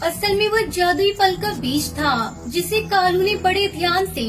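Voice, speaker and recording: Very high-pitched woman storyteller. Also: unhurried. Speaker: narrator. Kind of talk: scripted children's story narration. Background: music.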